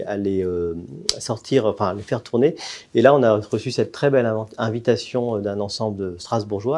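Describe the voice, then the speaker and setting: A man's voice: lowish voice, warm tone. A middle-aged man, a university choir director, answering in an interview with frequent fillers and restarts.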